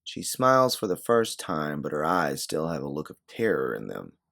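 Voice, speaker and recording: A man's voice: rumbling low, huge pitch swings, normal speed, gravelly, a bit bright. A man reading a scripted second-person story in a dramatic narrating style. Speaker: narrator of an original audio story.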